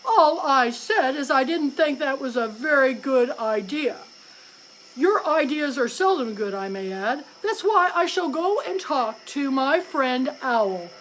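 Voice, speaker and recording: A puffed-up husband crow, acted by a woman reading aloud, defends himself in prim, huffy tones. The voice sits fairly high and unhurried. He sounds stuffy and self-important from a children's storybook. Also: expressive, fairly bright tone, slight background noise, low-quality recording. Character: pompous, timid male crow husband.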